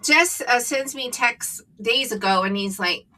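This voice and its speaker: A middle-aged woman co-hosting a casual Bible discussion, chatty and quick to jump in with comments. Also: fairly high pitch, sharp tone. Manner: chatty, laughs easily, jumps in with comments. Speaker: co-host. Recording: distant mic.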